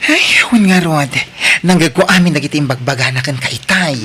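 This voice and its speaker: A man's voice: a little high, brisk, sharp tone, a little hiss or hum. A man with an Ilocano Filipino accent playing a chatty, nosy, supportive office friend in an audio drama. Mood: commiserating.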